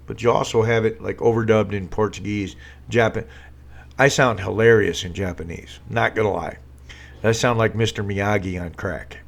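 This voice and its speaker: A man's voice: lowish voice, creaky. A man hosting a casual live stream from home, talking politics in a sarcastic, rambling way with many fillers and restarts. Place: home room with a green screen.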